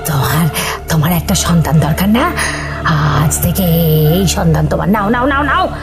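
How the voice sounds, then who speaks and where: A woman's voice: sits low, expressive, normal speed. Woman acting an eerie, insistent female ghost over music. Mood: urgent, coaxing. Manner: stuttering, urgent repetition of words. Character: sinister pleading female ghost, a dead mother, insistent and eerie. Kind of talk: dramatised horror audio story. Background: music behind the voice.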